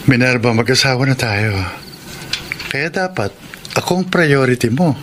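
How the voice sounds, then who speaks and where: An actor with a Filipino accent playing a needy, resentful young husband in a radio drama. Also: male voice, mid-range voice, expressive, a little slow, a bit bright, slight background noise.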